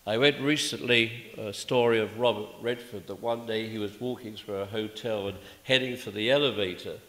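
An older man preaching a sermon in a measured, rhetorical manner, with emphatic pauses and repeated phrases. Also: fairly low pitch, fairly even intonation, fairly bright tone. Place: indoors, small church at a pulpit. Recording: echoey church with long reverb.

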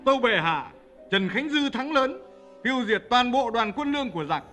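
Historical animation commander: loyal, declamatory, bright lively delivery. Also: male voice, very high voice, normal speed, faint music behind, heavily acted. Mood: proud, assured. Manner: formal, declamatory. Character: loyal, confident royal commander reporting to his emperor. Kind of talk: animated film voice acting.

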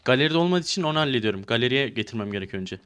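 A man's voice: mid-range voice, lively intonation, racing, a bit bright, smooth. A man improvising roleplay banter in an online game, repeating short phrases insistently. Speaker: streamer playing a fake car dealer.

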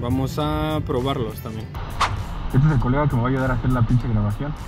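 A man's voice: medium pitch, fairly quick. A man with a Mexican accent giving a casual, slangy hands-on tutorial as a motorcycle vlogger. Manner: informal, slangy. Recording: loud music behind the voice.

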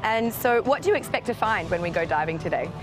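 A woman's voice: mid-range voice, expressive, fast talker, music in the background, poor audio. A young woman hosting a travel show, presenting and interviewing in an upbeat, chatty way. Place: sunny beach outdoors.

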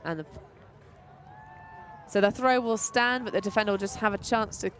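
A woman calls an outdoor match in a relaxed, chatty way, her voice sitting at an ordinary middle pitch. She drifts between play-by-play and playful asides. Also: normal speed. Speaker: commentator. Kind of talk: live sports commentary. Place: outdoor tournament field.

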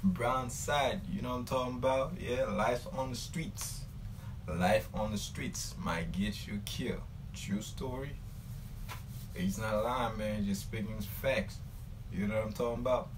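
A young man hosting a casual reaction video in a small room, talking in slangy, repeated catchphrases. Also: lowish voice. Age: young adult. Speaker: music reaction video host. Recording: clearly noisy home recording.

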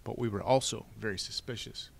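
A man voicing a calm, self-assured teenage girl in a storybook, composed and matter-of-fact. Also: fairly low pitch, a little fast, vocal fry, breathy edge, exaggerated voice. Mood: calm, confident.

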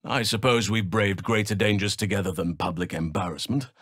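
An actor playing a gentle, solemn male elf druid healer in a video game, speaking in earnest, measured, formal phrases. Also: on the low side, fairly even intonation, no echo, studio quality. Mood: calm, earnest. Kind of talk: video game character voice lines.

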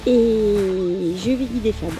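A woman's voice: mid-range voice, slow, over music, rough recording. A woman in her thirties, talking casually in a travel vlog and calling out directions to a driver, outdoors and from inside a car. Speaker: vlogger guiding a 4x4 driver.

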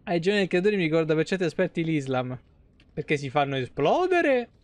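A man's voice: on the high side, sing-song, a little fast, no room sound. A man in his thirties giving casual, joking, foul-mouthed live commentary on a game. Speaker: game streamer. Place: small indoor streaming room at home. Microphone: close streaming microphone.

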